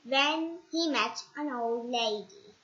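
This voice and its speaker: A child reading a storybook aloud in a halting, dramatic way, repeating words. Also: mid-range voice, bright, forceful tone. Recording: dull, echoey home recording.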